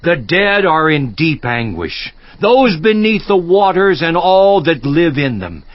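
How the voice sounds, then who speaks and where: Defiant suffering righteous man, scripture drama, emphatic, fairly high voice, slow delivery, dull recording. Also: very animated, dry recording. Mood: awed. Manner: theatrical, emphatic. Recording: slightly muffled audio.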